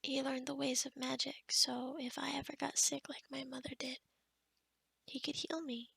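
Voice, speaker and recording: A woman playing a kind, sheltered young forest witch in a soft-spoken, half-whispered ASMR roleplay, gentle and hesitant with small stammers. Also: sits high, flat delivery, normal speed, no echo, full character voice. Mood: wistful, confiding. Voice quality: breathy, whispery.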